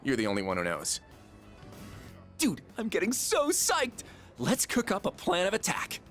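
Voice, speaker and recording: An actor playing an excitable, goofy high school slacker in a video game, slangy and melodramatic. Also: male voice, moderate pace, soft background music, exaggerated voice. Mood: excited, scheming.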